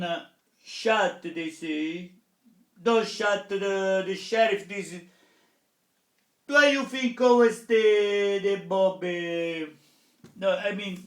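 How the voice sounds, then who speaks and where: A man's voice: high voice, fairly bright tone, roomy sound. A man with an Italian accent, a professor lecturing in English with frequent hesitant fillers. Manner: hesitant, many pauses and fillers. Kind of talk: public lecture.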